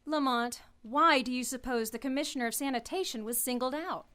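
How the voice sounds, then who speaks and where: A woman's voice: sits high, a little fast, sharp tone. A woman playing a worried, loyal companion. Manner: earnest and worried. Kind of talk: radio drama acting.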